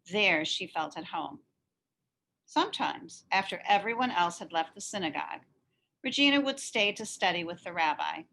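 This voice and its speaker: A woman reading a children's story aloud in an expressive, animated narrating style. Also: lowish voice, normal speed, gravelly. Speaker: story reader.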